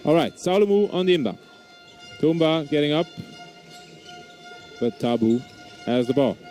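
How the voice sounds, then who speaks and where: A man's voice: a little high, lively intonation, moderate pace, warm tone, soft background music. Swiss-German-accented man calling basketball. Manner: hesitant, frequent uhs and restarts. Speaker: basketball commentator. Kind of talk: live play-by-play commentary.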